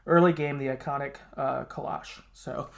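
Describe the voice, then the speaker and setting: A man's voice: mid-range voice, steady tone, normal speed, gravelly. A man hosting a casual gaming podcast, talking informally and often qualifying what he says. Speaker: podcast host.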